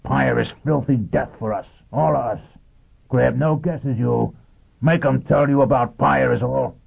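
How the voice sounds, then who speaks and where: A man's voice: on the low side, normal speed, creaky, husky. A man voicing a savage, fanatical fugitive in a science fiction audiobook, exhorting in short emphatic bursts. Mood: urgent, rough. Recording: muffled, phone-like narrow band.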